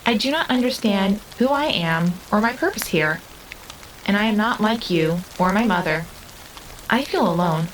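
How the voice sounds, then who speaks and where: A woman's voice: mid-range voice, expressive, moderate pace, slight background noise, natural voice, poor audio. A woman playing a young otherworldly being who speaks earnestly in formal, stilted, precise sentences in an audio drama. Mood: solemn, uncertain. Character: young otherworldly female being, earnest, literal, curious, restless.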